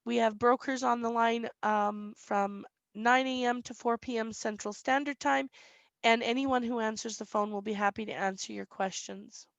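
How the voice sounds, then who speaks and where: Woman broker, slightly squeaky, plain explainer with "um"s, dry close webinar sound. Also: steady tone, normal speed, vocal fry, dry recording. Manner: reads questions aloud then explains plainly, frequent "um". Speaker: insurance broker answering webinar questions.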